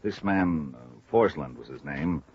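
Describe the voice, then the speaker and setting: An actor playing a talkative, puzzled male insurance man in a 1950s radio drama, chatty and deliberate. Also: rumbling low, lively intonation, a little mellow. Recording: muffled, noisy old radio recording.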